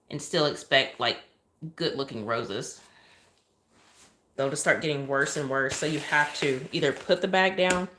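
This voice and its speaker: Southern woman, soft calm lower voice, chatty livestream cake decorator at a kitchen counter. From Oklahoma, USA. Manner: chatty, thanks viewers often, asides while working. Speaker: cake decorator live-streaming. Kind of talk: casual livestream chat while piping icing.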